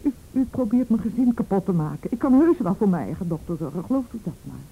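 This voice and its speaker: A woman's voice: mid-range voice, big pitch swings, fast talker, creaky, breathy edge, narrow, tinny audio, full character voice. An actress playing a defensive, frightened mother in a Dutch radio play, hesitant and evasive, with an indignant edge. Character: defensive, evasive, frightened mother shielding her abusive husband.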